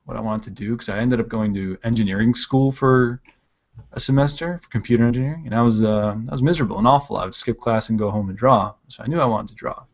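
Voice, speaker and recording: A man with a gravelly, creaky voice hosts an easygoing art stream and rambles through his answers with lots of fillers. His voice sits at an ordinary pitch. The audio sounds dull and lo-fi, like a home stream mix. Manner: relaxed, rambling, full of "like" and "um". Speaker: livestream host, digital artist. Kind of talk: casual chat while drawing on a livestream.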